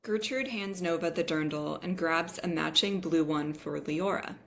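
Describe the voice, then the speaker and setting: A woman's voice: low voice, a little slow, vocal fry. A woman narrator reading scripted stage directions at a table read.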